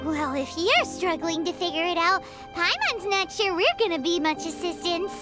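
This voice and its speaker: A woman voicing a tiny, blunt, excitable floating fairy girl who speaks of herself in the third person, in a game. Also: extremely high pitch, normal speed. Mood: wary. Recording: game audio with loud music behind.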